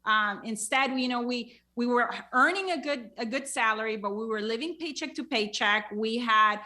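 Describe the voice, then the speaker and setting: Bright-voiced woman from Honduras. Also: on the high side, moderate pace. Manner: many fillers like um and you know. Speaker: podcast guest, entrepreneur. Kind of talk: casual interview storytelling.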